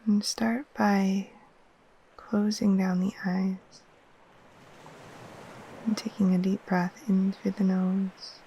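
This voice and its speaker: A woman gently guiding a sleep meditation in a soft, breathy, half-whispered voice, soothing and unhurried. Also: mid-range voice, a little hiss or hum, poor audio. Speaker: yoga nidra guide. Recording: faint steady wash of ocean waves.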